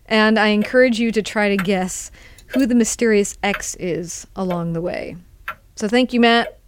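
A woman's voice: mid-range voice, a little hiss or hum. A woman hosting a radio show, giving a scripted introduction in a presenter's style. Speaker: host, public radio presenter.

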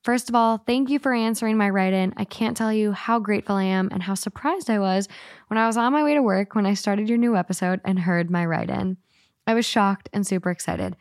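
A woman's voice: medium pitch, fairly quick, no room sound, studio quality. A woman co-hosting a casual podcast and reading out listener letters in an upbeat, matter-of-fact way. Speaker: podcast co-host.

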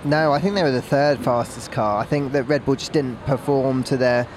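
A man's voice: mid-range voice, moderate pace. A man giving conversational motor racing analysis as a pundit, speaking outdoors in a paddock. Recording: faint outdoor background noise.

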